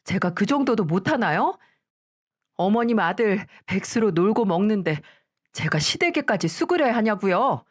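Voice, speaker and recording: A woman's voice: mid-range voice, lively intonation, normal speed, natural voice. A woman voicing a cold, contemptuous daughter-in-law who talks back curtly and sharply in a story read aloud. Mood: scornful. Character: cold, scornful woman in her middle years, the daughter-in-law.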